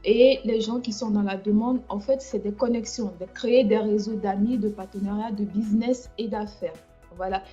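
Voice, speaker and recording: A woman's voice: medium pitch, fairly even intonation, normal speed, mellow, muffled recording, soft background music. A woman hosting a casual livestream chat, speaking hesitantly with many fillers and restarts. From France. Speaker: livestream host.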